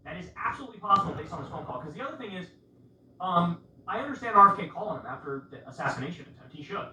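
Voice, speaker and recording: A man's voice: high voice, animated, very fast, bright, forceful tone. A man giving casual political commentary to viewers, insistently repeating rhetorical questions. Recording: roomy, distant sound with faint noise.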